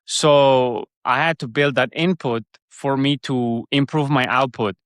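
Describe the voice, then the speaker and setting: A man with a Swiss German accent chats as a podcast guest, circling his points with restarts and fillers. His voice sits in a middle pitch range. The sound is close and dry, with no room around it. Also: fairly even intonation, a little slow, a bit bright, dry recording. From Switzerland. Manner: rambling, many restarts and fillers. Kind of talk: casual interview chat.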